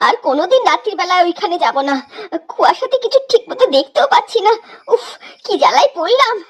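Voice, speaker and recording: A woman's voice: very high voice, sing-song, fast. A woman playing a weary, complaining, superstitious village housewife in a horror cartoon, speaking plaintively in a rustic way over music. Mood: terrified, pleading.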